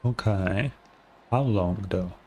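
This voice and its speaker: Low-voiced man, blunt gaming streamer. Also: slow pace, dark tone, a little hiss or hum. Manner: blunt, sweary. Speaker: streamer reacting to a game. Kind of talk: casual live game commentary. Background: faint game music.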